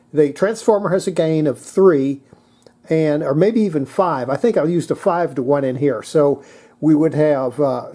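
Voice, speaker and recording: A presenter giving a casual hands-on technical demonstration, thinking aloud in a halting way. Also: medium pitch, dark tone. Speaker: presenter demonstrating antique radios.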